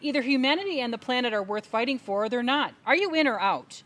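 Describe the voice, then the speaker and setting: A woman's voice: on the high side, expressive, fast, a bit bright. A woman playing an indignant, crusading adult sister in a comedic audio play, speaking in a dramatic, emphatic, speechifying way. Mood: defiant. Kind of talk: comedic audio theatre acting.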